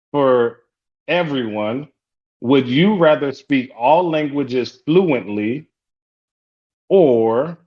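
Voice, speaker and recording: A man's voice: mid-range voice, dull audio. Haitian Creole-speaking man hosting a group call. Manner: upbeat, repeats welcomes, chatty asides. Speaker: support group facilitator.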